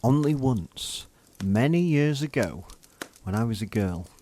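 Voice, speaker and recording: A man's voice: mid-range voice, expressive, measured pace, warm tone. A man narrating a scripted story for children.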